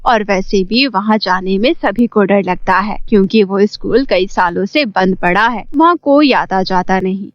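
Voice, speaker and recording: A woman's voice: medium pitch, normal speed, hardly any pauses, dry recording, pitched below the performer's own voice, natural voice. A woman voicing a firm, practical mother giving instructions in a horror cartoon story. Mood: soothing, cautious. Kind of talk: Hindi horror cartoon dialogue. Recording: muffled audio under loud music.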